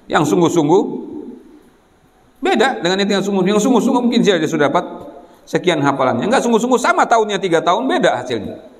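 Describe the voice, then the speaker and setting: A man giving a religious exhortation to students, admonishing and repeating his points, often checking in with listeners. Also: on the high side. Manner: repetitive, admonishing, frequent "ya" check-ins to listeners. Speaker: Islamic boarding school teacher and preacher. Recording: long hall reverb.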